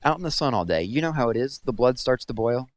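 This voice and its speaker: A man's voice: mid-range voice, expressive, fast talker, voice pushed up, close to an everyday voice. A man voicing a casual, placating teenage boy at a camp in a storybook. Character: teenage camp boy smoothing things over.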